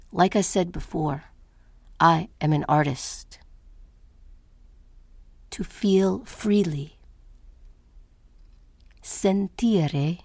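A woman playing an introspective artist in a poetic dramatic monologue, speaking with deliberate, theatrical phrasing. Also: on the low side, darkish tone, dry recording, clean studio sound. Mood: tender, sensual. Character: introspective woman artist baring her thoughts, by turns tender and defiant.

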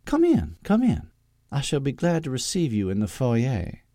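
A man's voice: medium pitch, wildly swooping pitch, normal speed, dark tone, no echo, studio quality, voice pushed up. A man voicing a formidable Southern belle mother in her sixties, with a sing-song, theatrical and imperious manner. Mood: grandly charming. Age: 60s.

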